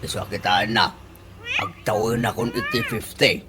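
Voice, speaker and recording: A woman's voice: extremely low pitch, wildly swooping pitch, moderate pace, background noise, exaggerated voice. A woman playing a good-natured, teasing father of fifty in an Ilocano-accented radio comedy, given to exclamations. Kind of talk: comedy radio drama acting.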